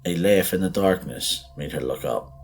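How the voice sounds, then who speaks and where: A man narrating a scripted horror story in a measured, theatrical, suspenseful way. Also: low voice, husky. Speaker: podcast host and story narrator.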